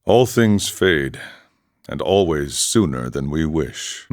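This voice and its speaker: A serene, world-weary ascetic monk from a video game. The voice is very low and moves expressively, with measured, formal phrasing and a philosophical bent. The recording is dry and close. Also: male voice, lively intonation, dry recording, exaggerated voice. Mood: wistful, philosophical. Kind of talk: video game character dialogue.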